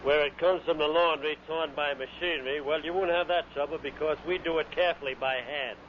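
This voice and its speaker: A man's voice: a little high, fairly even intonation, brisk. A man working as a radio announcer, reading in a vintage broadcast style. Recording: muffled old radio recording, faint noise.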